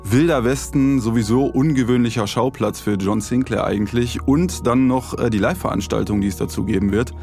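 A man's voice: medium pitch, brisk, vocal fry, hardly any pauses, a little mellow. Male podcast host, faint music behind. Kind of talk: casual discussion, introducing the topic.

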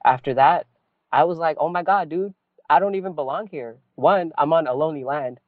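A man from California, a poet and activist, telling his story as an interview guest in an animated, casual way, often saying "like" and "right?". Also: fairly high pitch, a little fast, dry recording. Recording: tinny phone-like call audio.

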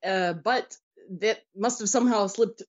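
A woman's voice: mid-range voice, a little slow. Enthusiastic American woman, lo-fi sound. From United States. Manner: enthusiastic, storytelling, laughs easily. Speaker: podcast guest. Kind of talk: casual interview chat.

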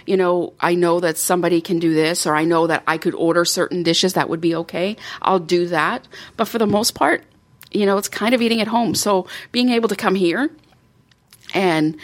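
A woman talking as a guest in a casual podcast chat, telling her story in a chatty, lively way. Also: lowish voice. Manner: chatty, animated storytelling with laughs.

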